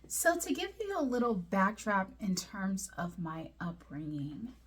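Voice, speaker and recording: A woman from Liberia who was raised in the United States, sharing a personal story with viewers in an earnest, deliberate, articulate way, with a husky, breathy voice. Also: medium pitch, very animated. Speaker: host of a personal video series.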